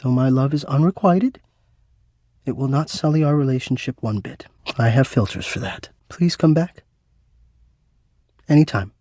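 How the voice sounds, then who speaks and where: A man's voice: mid-range voice, lively intonation, moderate pace, long pauses, dark tone, dry recording, studio quality, close to an everyday voice. An actor voicing a sentient home ventilation system in a video game, an eloquent, dramatic male romantic with florid, formal delivery. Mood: tender, wistful. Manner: florid, theatrical, formal wording.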